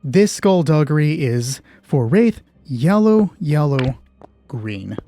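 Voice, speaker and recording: A man's voice: on the high side, big pitch swings, slow, mellow, soft background music. A man hosting a solo tabletop roleplaying game, narrating the story and explaining rules conversationally, thinking aloud with self-deprecating asides.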